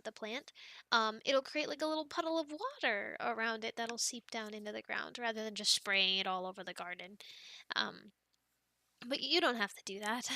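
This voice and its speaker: A young woman in an ASMR girlfriend roleplay, chatting softly and wandering from thought to thought with little laughs. Her voice is bright and a touch high. It is recorded close and dry. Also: dry recording. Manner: soft, chatty and rambling, with many ums and light laughs.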